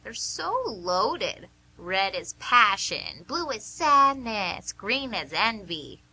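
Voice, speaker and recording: Casting: blunt, dry lady with a put-on quirky voice, played by a woman, piercingly bright, lively pitch, radio theatre. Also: slow pace, husky, full character voice, poor audio. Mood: curt, guarded. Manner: putting on a weird voice, curt, dry. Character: blunt, teasing woman in an old-lady mask on a blind date. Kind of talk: amateur radio theatre acting.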